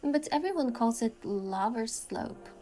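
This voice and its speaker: A woman reading scripted first-person story narration in an expressive way, acting out the lines as a heroine. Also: a little high, a little slow, soft background music. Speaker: narrator voicing a game's heroine.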